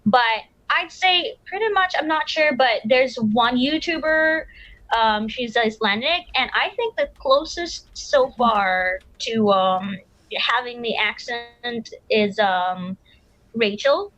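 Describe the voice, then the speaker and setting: A woman's voice: on the high side, slow pace, a bit bright, no room sound, dull audio. A woman co-hosting a casual movie review chat, opinionated but hesitant, with many fillers. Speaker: podcast co-host.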